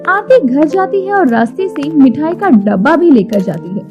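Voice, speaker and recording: A woman narrates a scripted moral story in a high voice. Clear music runs behind her storytelling. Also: lively intonation, normal speed, a little mellow, lo-fi sound.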